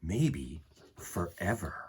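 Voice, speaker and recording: Animated low, husky man reading to kids. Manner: animated, dramatic pauses and emphasis. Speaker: children's storytime host and reader. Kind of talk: expressive picture book read-aloud for children.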